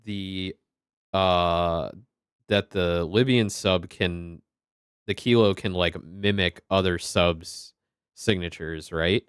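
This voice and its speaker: A man's voice: low voice, clean tone, dry recording, studio quality. A man casually talking through a video game as he plays it, thinking aloud and now and then swearing. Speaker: streamer playing a game. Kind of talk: casual gameplay commentary.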